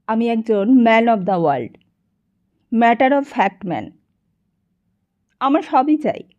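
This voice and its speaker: A woman's voice: a little high, darkish tone. Woman voicing a smug, pompous rich businessman, dull recording. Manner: pompous monologuing, mixes English into Bengali. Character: smug, money-hungry, cigar-smoking worldly businessman. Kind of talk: audiobook character dialogue.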